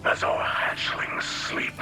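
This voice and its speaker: An actor playing a solemn, weary alien leader in a game cutscene, gentle yet resolute, with a breathy, whispery rasp and halting, grave delivery. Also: male voice. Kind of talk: dramatic game cutscene monologue. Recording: loud music under the voice.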